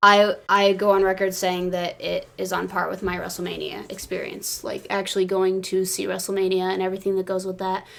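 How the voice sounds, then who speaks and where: A woman co-hosting a podcast, telling anecdotes casually with an excitable, giggly manner and lots of "like". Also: mid-range voice, gravelly. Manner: excitable, giggly, peppers talk with "like". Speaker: podcast co-host.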